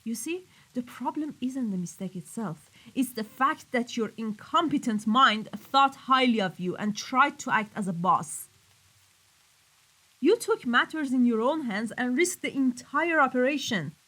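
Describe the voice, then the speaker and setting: A woman's voice: fairly high pitch, expressive, a little slow, sharp tone, heavily acted, rough recording. Woman acting a cold, menacing female mafia boss. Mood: icy, reprimanding. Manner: commanding, stern, accusatory. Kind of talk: scripted audio roleplay drama.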